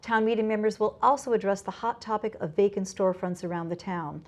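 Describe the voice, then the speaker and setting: A woman's voice: mid-range voice, fairly even intonation, a little fast, a little mellow. American woman reading the news. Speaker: news anchor. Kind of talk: scripted news narration.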